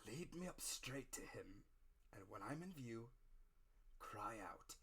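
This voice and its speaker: A man voicing a cruel old blind beggar in a strained, theatrical, drawn-out sing-song for a storybook reading. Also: mid-range voice, animated, sharp tone, breathy edge, heavily acted. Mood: menacing, commanding. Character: dreadful cruel old blind beggar, wheedling then menacing.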